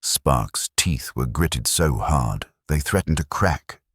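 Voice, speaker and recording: Brief: grave audiobook narrator, man, very deep and husky. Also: expressive, slow pace, fairly bright tone, dry recording, studio quality. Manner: grave, dramatic storytelling.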